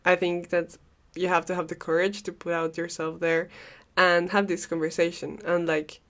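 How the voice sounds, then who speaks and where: Young woman, Peruvian Spanish accent, podcast host, rambling casual monologue, frequent laughs, slightly low voice. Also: level tone, moderate pace, a bit bright. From Peru. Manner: chatty and rambling, laughs often, swears casually.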